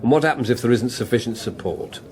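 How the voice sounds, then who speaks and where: An actor playing a grumbling, sceptical political colleague, blunt and sarcastic in manner. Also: male voice, mid-range voice, lively intonation, quick pace, darkish tone, natural voice. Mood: scornful. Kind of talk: British political drama acting. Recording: crowd chatter behind.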